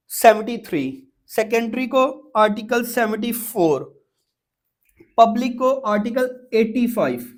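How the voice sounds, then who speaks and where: A woman with a Pakistani accent giving an informal lecture as an exam prep teacher, explaining step by step with frequent repetition and check-ins. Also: fairly high pitch.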